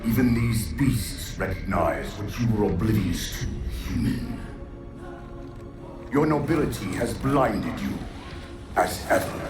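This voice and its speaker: A man's voice: lowish voice, expressive, slow pace. A man voicing an ancient alien warlord villain in a video game, imperious and brooding, with grandiose disdain. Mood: contemptuous, menacing. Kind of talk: video game cutscene acting. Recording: echoey, distant, under music.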